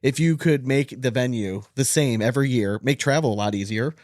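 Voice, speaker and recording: A man with a middling-pitched voice chats as co-host of a sports podcast. He is emphatic and opinionated, with casual swearing. The sound is dry and close. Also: fairly quick, a little mellow, no room sound.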